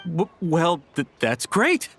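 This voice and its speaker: A man's voice: fairly high pitch, huge pitch swings, slow pace, a bit bright, full character voice. A man voicing a flustered, fawning tea merchant in a video game, stammering and apologetic as he evades his customers. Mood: nervous, evasive. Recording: music behind the voice.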